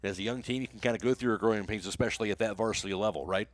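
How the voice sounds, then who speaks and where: A man's voice: lowish voice, racing, dry recording. An American man calling a baseball game live as a radio play-by-play announcer, in clipped phrases that follow the action.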